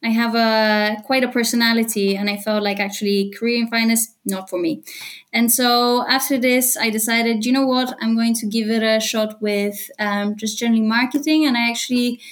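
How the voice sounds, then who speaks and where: A woman in her thirties, originally from Bulgaria, chatting lively as a podcast guest and laughing often. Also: on the high side, moderate pace. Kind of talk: casual interview chat.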